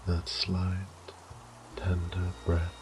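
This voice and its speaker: A man's voice: low voice, monotone, very slow, darkish tone, a little hiss or hum. A man playing an ancient, bored vampire lord in a horror audio drama, theatrical and mock-tender, with menacing condescension and drops into whispers.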